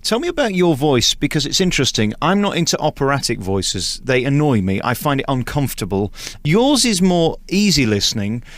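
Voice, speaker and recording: Lively-voiced male radio presenter. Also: medium pitch, brisk. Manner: blunt, opinionated, lively questions. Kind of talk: radio interview.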